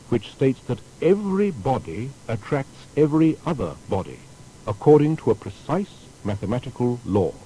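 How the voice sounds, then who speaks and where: A man narrating a scripted historical documentary in a formal storytelling manner with deliberate emphasis. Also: warm tone, low-quality recording. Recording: faint background noise with rumble.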